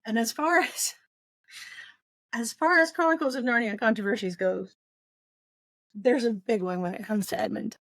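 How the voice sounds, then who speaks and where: A woman's voice: a little high, moderate pace, long pauses, a bit bright, no echo. A woman co-hosting a podcast in a casual book discussion, speaking in an opinionated, playfully emphatic way.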